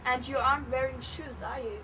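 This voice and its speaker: A woman's voice: sits high, fairly even intonation, brisk, over a noisy crowd. A teenage girl hosting a scripted mock talk show for a school project, with an eager, upbeat presenter's tone. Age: teens. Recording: distant mic with loud background noise.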